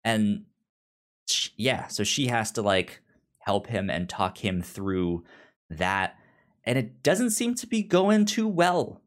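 A man chatting casually as a podcast co-host, retelling a story in a halting way and often repeating the starts of words. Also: fairly low pitch, sing-song. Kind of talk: casual chat, retelling a TV show episode.

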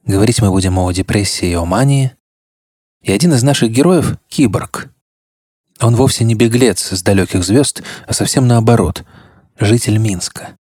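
A man's voice: lowish voice, moderate pace, darkish tone, no echo, clean studio sound. A man hosting a podcast with scripted narration that speaks straight to the listener. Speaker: podcast host.

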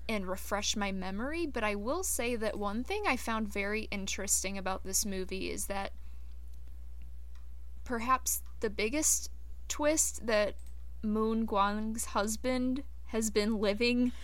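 A woman's voice: mid-range voice, unhurried. A woman co-hosting a podcast, talking casually through her thoughts on film. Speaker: podcast co-host.

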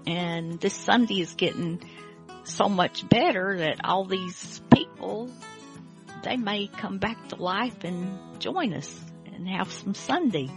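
A woman's voice: medium pitch, takes it slowly, faint music behind. A woman hosting an old-time radio program in a casual, chatty style.

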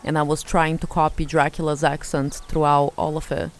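A woman's voice: deep voice, level tone, moderate pace, gravelly, soft background music. A young Brazilian woman with a Brazilian accent tinged with Eastern European sounds, telling chaotic self-mocking stories in a casual vlog and laughing often. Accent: Brazilian Portuguese with Eastern European traces. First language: Portuguese. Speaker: vlogger. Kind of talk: casual storytelling monologue.

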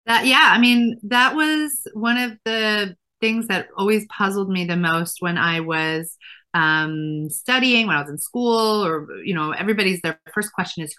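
A woman, a fashion designer answering interview questions thoughtfully with many pauses. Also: mid-range voice, sharp tone. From Israel. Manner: thoughtful, many fillers and restarts.

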